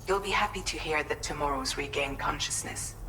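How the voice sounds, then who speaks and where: A woman's voice: low-pitched, brisk, creaky, pushed and bright, a little hiss or hum, exaggerated voice. An actress playing a composed female team leader giving a briefing in a video game. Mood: approving.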